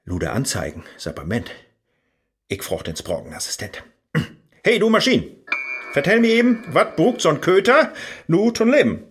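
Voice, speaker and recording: An actor playing a flustered scholar fumbling with a laptop, speaking with a Low German accent and a husky voice. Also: male voice, very animated, normal speed, long pauses.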